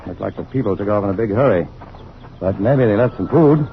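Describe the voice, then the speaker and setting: An American actor playing a calm, reassuring, educated scientist hero in an old-time radio drama. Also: male voice, on the low side, a little fast, vocal fry. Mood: brisk, easygoing. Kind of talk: 1950s radio drama acting. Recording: dull, lo-fi old radio, faint noise.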